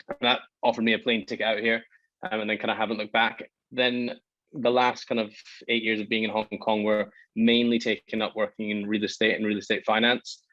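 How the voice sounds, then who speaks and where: A man's voice: on the low side, fairly bright tone, no echo, dull audio. A Scottish man in his thirties, a startup founder talking through his career in a hesitant, self-deprecating way with lots of ums. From Scotland. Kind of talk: casual interview talk.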